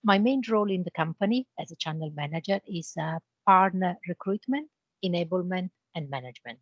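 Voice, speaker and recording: A woman with an Italian accent, a guest in a business interview, explaining with homely comparisons in a measured way. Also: on the low side, expressive, no room sound, lo-fi sound. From Italy. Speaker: interview guest, channel manager.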